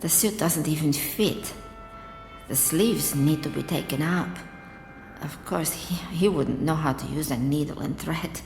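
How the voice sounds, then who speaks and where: Starving, suspicious woman soldier for radio drama; slow, halting delivery in echoing reverb. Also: deep voice, gravelly, a little mellow, soft background music. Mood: wry, wistful. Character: wary, starving enemy woman soldier in a ruined world. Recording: roomy echo with long reverb.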